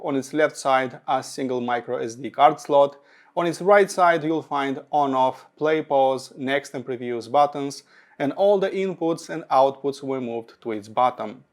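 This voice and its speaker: A man narrating a semi-scripted product review in measured phrases that start high and trail off low. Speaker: audio gear reviewer.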